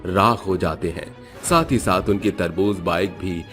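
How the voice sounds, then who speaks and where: A man's voice: sits low, a little slow, a bit bright, soft background music. A man doing scripted storybook narration for cartoon tales.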